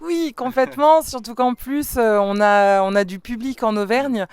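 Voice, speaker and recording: High, crystal-clear woman singer. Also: normal speed, darkish tone, no echo. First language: French. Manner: thoughtful, hesitant, many fillers and restarts. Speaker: band singer and songwriter. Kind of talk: radio interview answers. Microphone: studio microphone.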